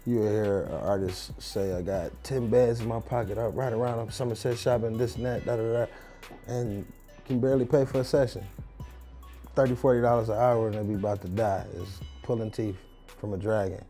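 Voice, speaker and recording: Low, dark-voiced man, blunt. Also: fairly even intonation, brisk. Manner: blunt, opinionated. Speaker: producer and engineer. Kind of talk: documentary interview. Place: recording studio, indoors. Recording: music bed underneath.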